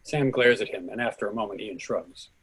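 A man's voice: lowish voice, a little fast, a bit bright, slightly rough, poor audio. A man narrating scripted stage directions for a play reading.

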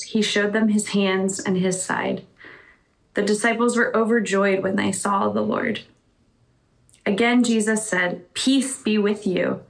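A woman reading a passage of scripture aloud in a slow, measured way during a church service. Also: medium pitch, creaky, rough recording. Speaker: scripture reader.

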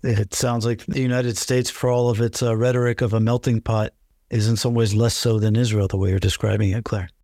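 A man hosting an interview, asking questions in a calm, deliberate way. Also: fairly low pitch, no echo, studio quality. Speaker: podcast host, interviewer.

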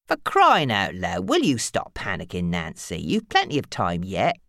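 A woman voicing a patient, easygoing old grandfather in an audiobook novel, wry and gently grumbling. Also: a bass voice, wildly swooping pitch, normal speed, gravelly, dry recording, studio quality, voice pushed down, full character voice. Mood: soothing, mildly irritated.

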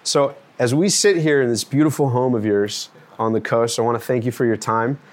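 A man's voice: mid-range voice, brisk. A man conducting a casual interview, friendly and encouraging with short questions.

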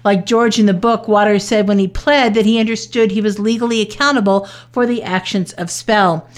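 A woman with a mid-pitched voice delivers a scripted news report. She reads as a reporter does, measured and matter-of-fact, laying out the details one sentence at a time. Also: steady tone.

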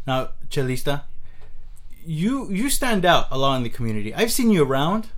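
Man, halting casual interviewer. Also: fairly high pitch, big pitch swings. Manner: halting, stammering questions. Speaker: interviewer, host.

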